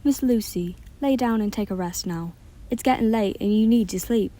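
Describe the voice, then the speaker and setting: A young woman playing a gentle, dutiful maid, soft and submissive, in a radio drama. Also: medium pitch, moderate pace, no room sound, close to an everyday voice. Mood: soothing. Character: gentle, dutiful female maid, obedient under a spell. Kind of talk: stage-style radio drama acting.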